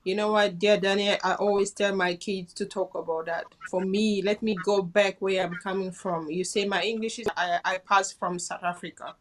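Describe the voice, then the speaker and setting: A woman's voice: mid-range voice, fairly even intonation, moderate pace, few pauses, a little hiss or hum. A woman from Congo, a care assistant, earnestly telling her own story as an interview guest. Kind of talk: interview chat.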